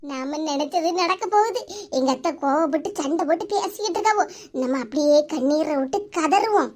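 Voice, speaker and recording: A woman's voice: very high voice, expressive, measured pace, noisy background. A woman playing a mischievous young wife who schemes in sly asides. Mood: scheming.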